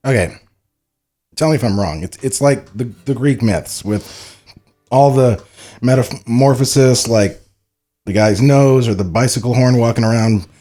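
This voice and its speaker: A man's voice: mid-range voice, unhurried, warm tone. A man co-hosting a podcast, giving casual commentary during a table read on a studio microphone. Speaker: podcast co-host.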